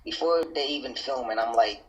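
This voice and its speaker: A man's voice: medium pitch, fairly quick, a little hiss or hum. A man from New Jersey talking casually and earnestly as an interview guest, in a motivational way. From New Jersey, United States. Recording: dull, muffled lo-fi sound.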